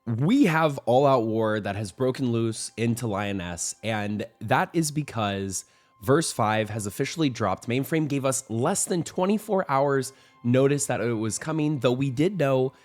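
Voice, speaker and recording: A young man hosting a weekly game news show, a little hoarse from a cold, talking in an upbeat, rambling way. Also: mid-range voice, lively intonation, unhurried, a little mellow, soft background music. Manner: upbeat, rambling, with frequent restarts and fillers. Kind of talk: casual game news commentary.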